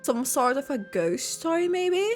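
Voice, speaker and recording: A young woman streamer reacting casually to a game, exclamatory and expressive. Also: high voice, measured pace, soft background music.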